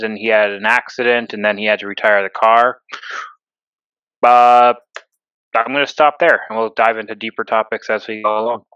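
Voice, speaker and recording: A man with a bright, clear voice talks through a race recap in a casual, offhand way, pausing on ums and restarting his sentences. The sound is dry and close, though the audio is a little dull and lo-fi. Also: medium pitch, dry recording. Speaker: podcast co-host.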